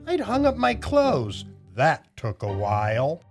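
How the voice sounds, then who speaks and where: A man's voice: on the high side, wildly swooping pitch, takes it slowly, faint music behind. An older man hosting a children's storytime show, telling jokes and reading aloud in a playful, theatrical way. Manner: playful, exaggerated, theatrical delivery. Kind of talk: animated kids' show hosting, joke telling and read-aloud.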